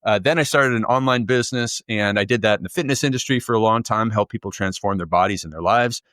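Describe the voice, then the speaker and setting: A man's voice: fairly low pitch, fast, fairly bright tone, dry recording, studio quality. A man from Canada, a guest author, answering interview questions in a fluent, upbeat, storytelling way. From Canada, near Toronto.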